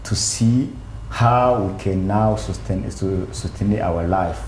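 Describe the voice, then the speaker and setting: A man with a deep, mellow voice answers hesitantly and stresses words slowly. His voice is heard in a roomy space with faint noise behind it. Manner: hesitant, many fillers, slow emphatic phrases. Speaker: panel guest. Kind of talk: panel discussion answer.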